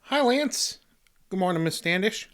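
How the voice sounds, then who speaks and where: An actor playing a nervous, meek, folksy sidekick who stammers politely in a comic old-time radio play. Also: male voice, high voice, animated, measured pace, fairly bright tone. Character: nervous, bashful, honest-seeming male sidekick, meek and folksy.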